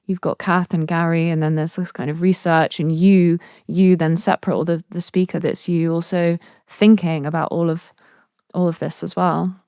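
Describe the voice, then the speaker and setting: A woman's voice: fairly low pitch, level tone, moderate pace, warm tone, clean tone, dry recording, studio quality. A woman interviewing in a conversational way, effusive and appreciative as she talks.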